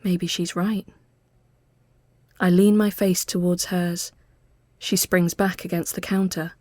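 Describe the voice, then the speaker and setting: A woman reading a literary ghost story as scripted narration, measured and often hushed as phrases end. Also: lowish voice, little pitch movement, no echo.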